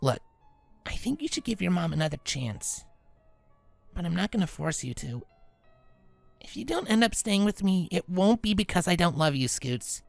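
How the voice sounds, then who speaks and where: A woman playing a protective adult female pegasus, casual and earnest, with a slightly gravelly voice in an audio story. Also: on the low side, very animated, moderate pace, pauses often, darkish tone, no room sound, soft background music, heavily acted. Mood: tender, earnest. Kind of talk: dramatic audio story acting.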